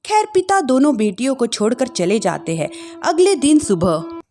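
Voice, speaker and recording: Slow, high-voiced woman narrating a story. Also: big pitch swings, dark tone. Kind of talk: scripted bedtime story narration.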